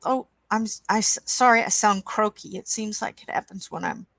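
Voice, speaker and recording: A woman with a croaky voice hosting a coloring livestream, chatting casually and reading chat aloud at an easy pace. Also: mid-range voice, no room sound. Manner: slow, unhurried, reads chat aloud with soft asides. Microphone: webcam.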